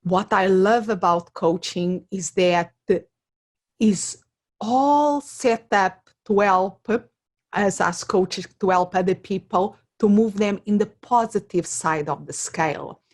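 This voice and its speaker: A middle-aged woman with a Portuguese accent, speaking as an interview guest and explaining things conversationally through examples and personal stories. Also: medium pitch. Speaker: interview guest, career coach.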